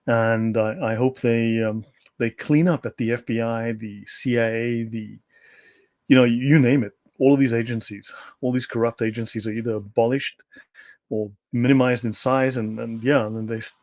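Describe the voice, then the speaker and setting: A man's voice: mid-range voice, darkish tone. A man hosting a livestream over a video call, talking in a friendly, loose way with many fillers. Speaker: livestream host. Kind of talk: casual livestream chat.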